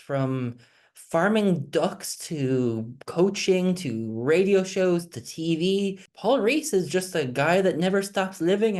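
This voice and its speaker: A man's voice: high-pitched, a little mellow. A man hosting an interview podcast, introducing his guest with upbeat enthusiasm and asking personal questions. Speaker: podcast host.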